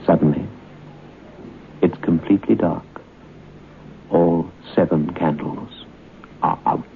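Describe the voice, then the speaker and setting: An ominous storyteller of radio suspense, his voice very low and gravelly. He doles out the scripted narration in deliberate short phrases. The old broadcast recording is noisy. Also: steady tone, narrow, tinny audio, heavily acted.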